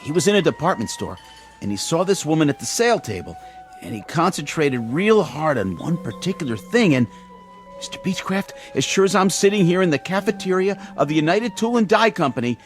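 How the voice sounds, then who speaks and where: A man's voice: a little high, big pitch swings, brisk, soft background music. An actor playing an eager, clumsy, gullible male coworker who talks in an earnest, folksy, emphatic way in a television drama. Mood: awed, confiding.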